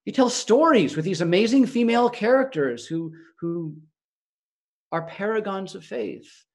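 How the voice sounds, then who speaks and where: A man acting an awestruck male disciple, telling the story in a reflective, wondering first-person monologue with emphatic pauses. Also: high voice, lively intonation. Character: awestruck male disciple recounting his teacher. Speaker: actor in a biblical monologue.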